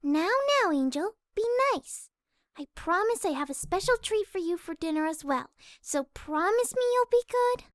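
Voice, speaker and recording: A woman voicing a shy, gentle young female pegasus pony in a fan audio drama, timid, hesitant and soft-spoken. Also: very high-pitched, expressive, moderate pace, dry recording, clean studio sound. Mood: gently scolding.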